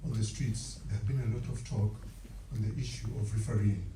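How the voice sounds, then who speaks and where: A man's voice: on the low side, steady tone, brisk, mellow. A man with a Ugandan accent, a journalist putting formal, wordy questions at a press conference. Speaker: radio journalist asking questions. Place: large indoor press conference room. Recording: muffled, echoey room, distant, faint noise.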